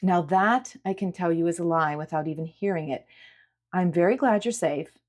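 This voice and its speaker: A woman voicing a caring, patient woman who reassures with gentle insistence in a dramatic audiobook. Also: lowish voice, normal speed, natural voice. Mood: gently insistent. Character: caring, patient woman comforting an upset girl. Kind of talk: dramatic audiobook character acting.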